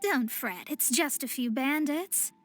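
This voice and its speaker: A woman's voice: high-pitched, lively intonation, a little slow, a little hiss or hum. An actress playing a proud young female astrologist in a video game, speaking in lofty, formal, theatrical phrases. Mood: smug, lecturing. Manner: formal, archaic, lofty diction. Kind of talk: anime-style video game voice acting.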